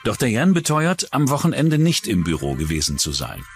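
A male documentary narrator delivers scripted true-crime material. His voice sits fairly low and moves with lively intonation. Soft music plays faintly behind him. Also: normal speed.

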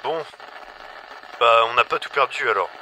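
A man's voice: mid-range voice, normal speed, pushed and bright. A man playing an irritable, wary survivor in an audio drama, brusque and sweary over a walkie-talkie. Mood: suspicious. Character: gruff, irritable, wary male survivor on a radio. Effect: walkie-talkie radio. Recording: noisy, crackly walkie-talkie transmission.

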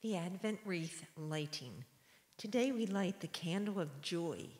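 Slow, swooping woman reading liturgy. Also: fairly low pitch, animated, a little mellow. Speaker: liturgy reader. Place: church sanctuary at a lectern. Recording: church hall reverb.

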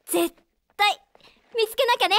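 A woman playing a supportive, hopeful teenage girl in a radio drama, gentle, girlish and expressive. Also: extremely high pitch, unhurried. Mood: gentle, concerned.

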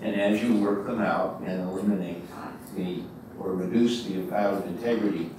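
Older man, low voice, emphatic university lecture, large echoey auditorium, faint background hiss. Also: fairly even intonation, moderate pace. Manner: conversational, emphatic lecturing with restarts. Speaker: guest lecturer. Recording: echoey hall, distant mic, faint noise.

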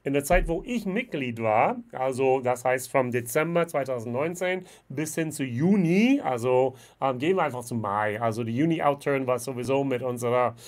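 A man's voice: mid-range voice, moderate pace, few pauses. A man in his forties from the United States with an American accent, speaking German as a second language in a casual whisky review vlog, chatty with many fillers. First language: English. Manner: chatty, frequent fillers, gestures with bottles. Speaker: whisky vlogger. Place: indoor room with shelves of whisky bottles. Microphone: webcam at desk.

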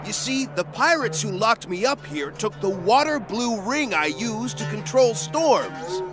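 A boastful sailor adventurer in a video game who haggles like a sly dealmaker. His voice is high and bounces all over. Loud game music plays under him. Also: big pitch swings, a little slow. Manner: boastful, wheedling. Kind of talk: video game cutscene voice acting.

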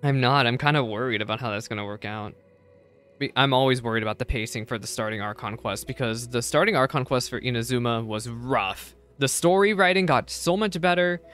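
A young man with a smooth, clean voice at a middling pitch. He gives casual gaming reaction commentary, chatty and a little hesitant, repeating himself as he goes. He is close on a desk microphone indoors. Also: fairly bright tone. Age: young adult. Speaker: game streamer. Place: indoor streaming setup. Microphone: close desk microphone, headset worn.

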